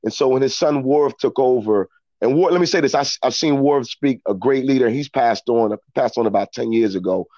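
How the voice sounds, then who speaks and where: A middle-aged American man telling reflective personal stories as an interview guest, in a halting way, often repeating words as he thinks. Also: medium pitch, darkish tone, dry recording, muffled recording. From Philadelphia, United States. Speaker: interview guest, actor and storyteller. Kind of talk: reflective personal storytelling in conversation.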